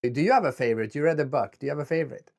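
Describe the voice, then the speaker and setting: A man's voice: on the high side, animated, rapid-fire, vocal fry, a little mellow. Swedish-born man speaking reflectively. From Sweden. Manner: reflective, enthusiastic, with some restarts. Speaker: guest, author and speaker. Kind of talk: conversational interview answers. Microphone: video call.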